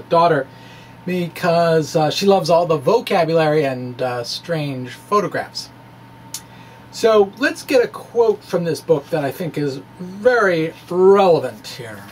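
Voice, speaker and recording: A middle-aged man giving a dramatic reading of a science blog, with deliberate, emphatic phrasing and informal asides. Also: high-pitched, a little hiss or hum, low-quality recording. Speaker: presenter, science blogger.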